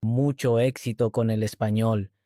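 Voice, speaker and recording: A man reading simple scripted dialogues slowly and clearly, then speaking as the host of a language lesson. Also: on the low side, no room sound, studio quality. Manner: clear, even, measured delivery.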